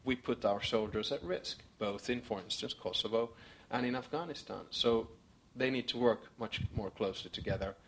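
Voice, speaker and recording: A man speaking as a conference panelist, answering questions in a measured way and listing his points one by one. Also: mid-range voice, fairly bright tone, slight background noise.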